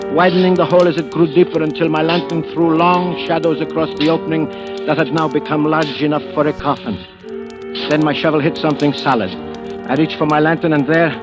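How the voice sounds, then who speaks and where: An actor playing a nervous, secretive, obsessed treasure hunter in an old radio thriller, speaking haltingly and dramatically. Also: male voice, on the high side, natural voice. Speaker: actor narrating and playing the lead. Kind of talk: 1940s-50s radio thriller acting, first-person narration. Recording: old radio recording with music.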